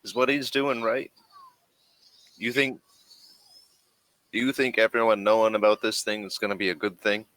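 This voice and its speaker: A man's voice: on the low side, little pitch movement, moderate pace, long pauses, a bit bright, no room sound, lightly acted, rough recording. A man playing a weary, wary spaceship crewman in a tabletop game, terse and questioning. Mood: weary, doubtful.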